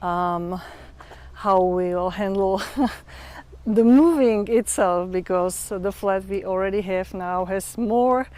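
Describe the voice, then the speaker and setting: A young adult woman with a Czech accent, a non-native English speaker hosting a casual livestream and often pausing to search for words. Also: mid-range voice, darkish tone. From Czech Republic. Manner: hesitant, searching for English words with many fillers. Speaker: livestream host. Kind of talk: casual livestream chat with viewers.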